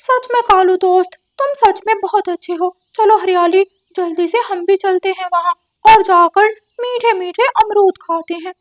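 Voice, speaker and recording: A woman acts out a trusting male parrot for a children's cartoon. His voice is extremely high, and he speaks with naive, excitable emphasis. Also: normal speed, few pauses, no room sound. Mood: eager. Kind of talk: Hindi children's cartoon dialogue.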